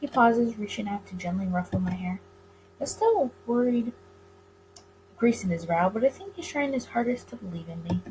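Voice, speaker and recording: A woman reading a visual novel aloud as dramatic narration for a gaming video, with expressive delivery. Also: mid-range voice, moderate pace, slight background noise, low-quality recording.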